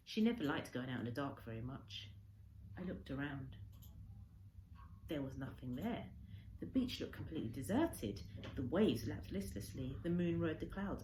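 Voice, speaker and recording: A woman reading a children's story aloud as a teacher, in expressive narration. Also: deep voice. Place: indoor room with a window. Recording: echoey room with faint background noise.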